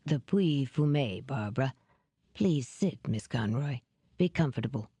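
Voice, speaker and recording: A woman voicing a powerful older businessman in an audiobook, courteous, calculating and formal in a measured way. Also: rumbling low, expressive, mellow, husky, no room sound, muffled recording, exaggerated voice.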